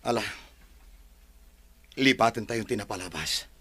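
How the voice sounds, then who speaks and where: A steady, forgiving man in a radio drama, played by an actor. His voice is fairly low with a husky edge. He speaks in a calm, reassuring way. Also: unhurried, no echo, exaggerated voice. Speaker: brother character.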